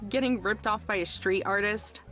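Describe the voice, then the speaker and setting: A man's voice: high voice, normal speed, pushed and bright, soft background music, pitched above the performer's own voice. A man voicing a reluctant, sarcastic young woman in a visual novel read-aloud.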